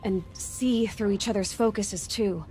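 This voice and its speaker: An actress playing a cool, self-reliant young huntress in a video game, speaking in a terse, matter-of-fact way. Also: female voice, mid-range voice, steady tone, unhurried, dark tone, faint music behind, lightly acted, low-quality recording. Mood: guarded, firm.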